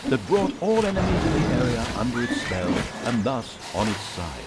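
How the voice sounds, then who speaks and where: A man's voice: mid-range voice, big pitch swings, normal speed, very noisy. A man giving wry, mock-grandiose, theatrical narration for a comedic fantasy game. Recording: music behind the voice. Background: music.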